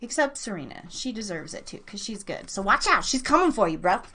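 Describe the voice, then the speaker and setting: A woman hosting a live trivia game in a casual, chatty, excitable and playful way, drawing out words for emphasis and talking to her listeners. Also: medium pitch, fairly quick, slightly rough.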